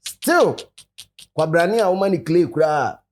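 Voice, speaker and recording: A man's voice: a little high, big pitch swings, a little slow. A man with a Ghanaian accent chatting casually as a panelist on a talk show, switching between English and local speech. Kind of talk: casual chat mixing English and Twi.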